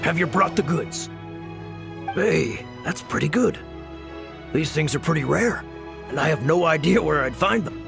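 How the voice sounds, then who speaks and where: A man's voice: on the high side, lively intonation, a little slow, creaky, pauses often, over music. An actor playing a shrewd but obliging working man who haggles casually in an anime game. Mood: pleased. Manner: casual, bargaining.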